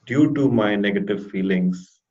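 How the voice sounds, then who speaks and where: A man with a stutter giving a halting, deliberate personal testimonial as a therapy client in an interview. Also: on the low side, a little mellow. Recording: dull lo-fi audio.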